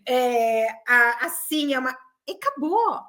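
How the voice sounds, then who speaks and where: Female co-host, high and animated, firm opinionated talker. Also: measured pace. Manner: emphatic, opinionated, animated storytelling. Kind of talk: casual chat.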